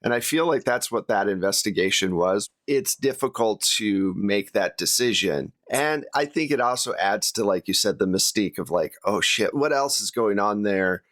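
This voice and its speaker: A man's voice: fairly low pitch, expressive, moderate pace, hardly any pauses, a bit bright, no echo. A man hosting a casual debrief of a tabletop game, talking in a rambling, conversational way and putting questions to the players. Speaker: host and game master of a tabletop actual-play show.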